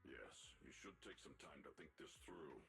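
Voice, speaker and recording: A man's voice: a bass voice, moderate pace, vocal fry, over music. A man playing a grave male companion in a video game.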